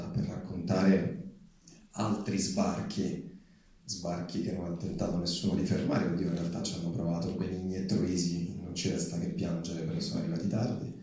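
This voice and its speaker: A man's voice: sits low, warm tone. A man speaking and reading aloud to the public at a live event. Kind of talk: public reading and impassioned activist speech. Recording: echoey hall, recorded from far off.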